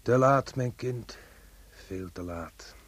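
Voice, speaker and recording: A man's voice: medium pitch, takes it slowly, fairly bright tone, slightly rough. An actor playing a grave, fatherly tour guide in a radio play. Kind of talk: Dutch radio play acting.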